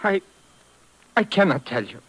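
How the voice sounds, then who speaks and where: An actor playing a frightened, halting old servant pleading in a 1950s radio drama. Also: male voice, high-pitched, big pitch swings, a bit bright, breathy edge, exaggerated voice. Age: older. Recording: noisy vintage radio recording.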